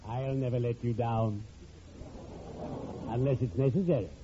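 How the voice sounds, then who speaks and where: An actor playing a genial, macabre undertaker in a 1940s radio comedy, speaking with pompous formality and drawn-out theatrical relish. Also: male voice, mid-range voice, steady tone, close to an everyday voice. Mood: pompous, droll. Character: ghoulishly genial, pompous male undertaker, fond of puns. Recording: muffled, noisy vintage radio recording.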